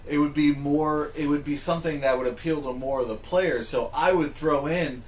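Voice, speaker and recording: A man's voice: a little high, normal speed. A man in a casual panel discussion, talking informally and arguing through examples. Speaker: podcast co-host. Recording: faint background noise.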